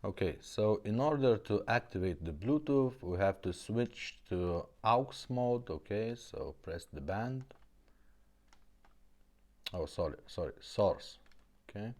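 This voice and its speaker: A man's voice: lowish voice, lively intonation, slow, a little mellow. A man casually demonstrating a car stereo, talking in a plain, matter-of-fact way and often checking in with "okay?". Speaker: car radio repair host.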